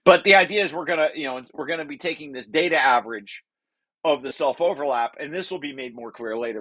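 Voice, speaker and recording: A man's voice: mid-range voice, bright, forceful tone, no echo. A man reading through a technical paper draft like a lecture, explaining as he goes with informal asides and often breaking off to rephrase.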